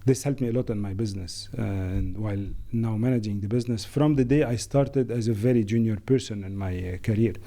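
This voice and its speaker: A middle-aged man from Lebanon, a managing director answering interview questions in a thoughtful, halting way with many fillers. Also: on the low side, warm tone.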